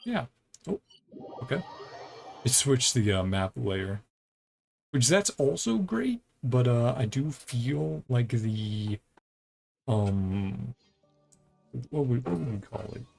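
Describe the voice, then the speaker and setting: Male streamer, mid-pitched with vocal fry, thinking aloud in loose, casual gameplay commentary. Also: big pitch swings, takes it slowly, long pauses, darkish tone. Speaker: video game streamer. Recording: game music behind the voice. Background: video game music.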